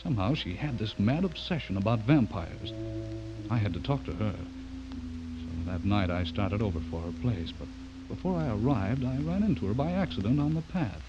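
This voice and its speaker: Low-voiced, suave, menacing secret werewolf. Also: male voice, big pitch swings, moderate pace, music in the background. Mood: brooding, narrating. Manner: suave, falsely courteous, patronizing. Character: smooth, menacing man secretly a werewolf, courting his victim. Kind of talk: old-time radio horror acting. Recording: muffled old radio recording, faint noise.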